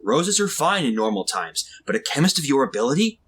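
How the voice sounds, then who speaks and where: A man's voice: medium pitch, sing-song, fairly quick, a bit bright, breathy edge. A man playing an earnest, puzzled young assistant who pleads his case in a retro radio drama. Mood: puzzled, pleading. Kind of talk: 1940s radio drama acting.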